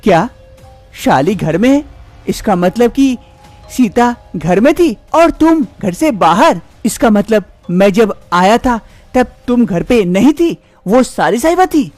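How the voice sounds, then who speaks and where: A woman voicing a young husband in a cartoon story, hurried and flustered as he talks to his wife and sister-in-law. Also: a little high, big pitch swings, darkish tone, dry recording, soft background music.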